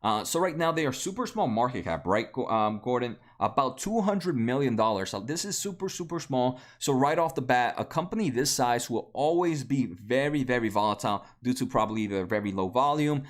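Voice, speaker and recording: A man's voice: mid-range voice, animated, a little fast, hardly any pauses. A man in his late twenties, a native Spanish speaker, hosting a casual stock livestream, answering viewers with many fillers and restarts. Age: 20s. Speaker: stock market livestream host. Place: home room at a desk.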